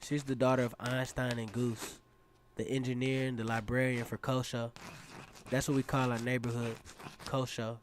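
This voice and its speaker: A man's voice: medium pitch, level tone, normal speed, noisy background, lightly acted. An actor playing a cocky, dreamy teenage circus acrobat who narrates his own story in a casual, self-assured way for a radio drama. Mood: casual, confident. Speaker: narrator and lead character. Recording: music playing behind the voice. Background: instrumental music.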